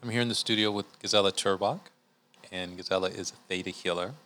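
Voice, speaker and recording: A man hosting a podcast, calmly introducing the show and his guest. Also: sits low, fast talker. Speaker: podcast host. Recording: music playing behind the voice.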